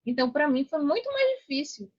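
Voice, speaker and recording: A woman's voice: sits high, very animated, brisk, a little mellow, lo-fi sound. A middle-aged Brazilian woman speaking casually as an interview guest, emphatic and repeating her points. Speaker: podcast guest, online affiliate mentor.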